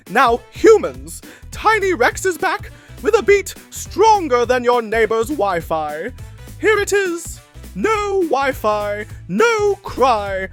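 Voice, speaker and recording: A woman's voice: high-pitched, full character voice. A woman voicing a cheeky puppet DJ who announces music in a showy manner. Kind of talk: puppet show music introduction.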